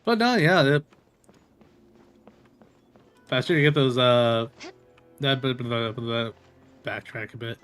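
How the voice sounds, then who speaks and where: A man streams a video game and chats casually as he plays, answering the characters out loud. His voice sits at a middling pitch, with game music behind him. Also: slow, long pauses, clean tone. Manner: chatty, reacting aloud to game dialogue. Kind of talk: casual gameplay commentary. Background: game audio and music.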